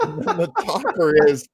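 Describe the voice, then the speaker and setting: A man's voice: sits high, gravelly, breathy edge. A man hosting a casual panel chat, explaining and retelling old comedy bits. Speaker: show host.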